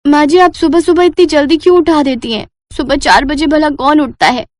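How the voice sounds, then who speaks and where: A woman's voice: high voice, fairly even intonation, fairly quick, no room sound, natural voice. A woman voicing a lazy, cheeky young daughter-in-law with a whiny, insolent manner in a comedy cartoon. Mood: sleepy, defiant. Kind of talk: Hindi comedy cartoon dialogue.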